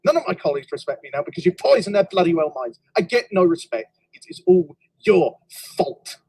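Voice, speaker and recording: A man's voice: high voice, sing-song, a little fast, a little mellow, breathy edge, dry recording, exaggerated voice, rough recording. A man voicing a petty, bullying male tender engine who whines and sneers with self-importance. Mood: irritated. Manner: whiny, scornful, self-important. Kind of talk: fan railway story dialogue.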